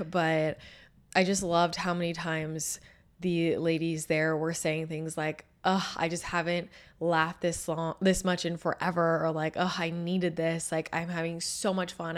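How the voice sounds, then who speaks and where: A woman in her thirties hosting her own show, hoarse and a little scratchy after losing her voice, talking in an upbeat, chatty way. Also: lowish voice. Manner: chatty and upbeat, with laughs and fillers. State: hoarse, recovering from lost voice. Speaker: podcast host. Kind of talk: casual solo chat.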